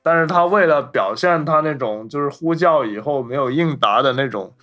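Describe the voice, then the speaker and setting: A man's voice: on the high side, expressive, normal speed, a bit bright, soft background music. A man co-hosting a podcast, explaining points about film in a casual, conversational discussion style. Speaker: podcast co-host.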